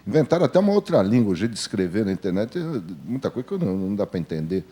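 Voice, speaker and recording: A man's voice: lowish voice, sing-song, rapid-fire, warm tone. An older Brazilian man, a veteran journalist, talking informally on a panel in a blunt, colloquial way with repeated phrases for emphasis.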